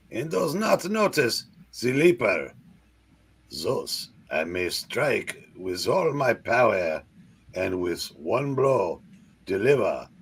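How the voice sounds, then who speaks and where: A man's voice: low voice, vocal fry, breathy edge, full character voice. A man voicing a pompous, vengeful French villain with a French accent, grandiose and theatrical, reading a comic book aloud. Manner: grandiose, theatrical, stilted phrasing. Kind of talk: hammy comic-book read-aloud performance.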